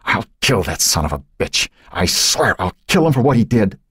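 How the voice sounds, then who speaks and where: A man performs a distraught, protective father in a thriller audiobook. He sounds strained and emotional, lifted in pitch with a husky grain. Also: very animated, normal speed, fairly bright tone, dry recording, clean studio sound, exaggerated voice. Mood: enraged.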